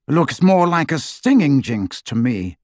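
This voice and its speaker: A man's voice: fairly high pitch, sing-song, measured pace, darkish tone, dry recording, studio quality. A man voicing an eager, impatient aristocratic man. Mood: avid.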